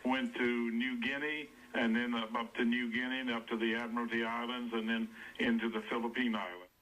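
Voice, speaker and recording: A man, a veteran radio broadcaster recalling the past slowly and with gentle humor. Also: mid-range voice, flat delivery, rough recording. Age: 90s. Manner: slow, rambling, gently humorous. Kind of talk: interview reminiscence. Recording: radio interview with faint noise.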